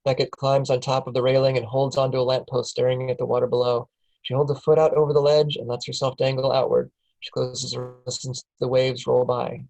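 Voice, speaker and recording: A man reading the scene directions aloud in a steady, plain way at a film table read. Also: medium pitch, brisk, vocal fry, dull audio.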